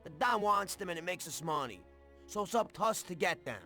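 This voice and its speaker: An actor playing a cocky, excitable mob henchman in a video game cutscene, blunt and slangy, over music. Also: sits high, fairly quick, heavily acted. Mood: exasperated. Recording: background music under the dialogue.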